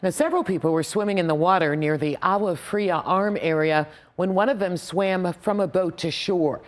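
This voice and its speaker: A woman's voice: fairly low pitch, normal speed. An American woman anchoring a TV newscast in a scripted news delivery.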